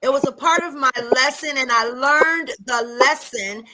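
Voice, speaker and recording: A woman's voice: high-pitched, moderate pace, pushed and bright, poor audio. A woman on a casual group talk show, explaining spiritual ideas earnestly and at length.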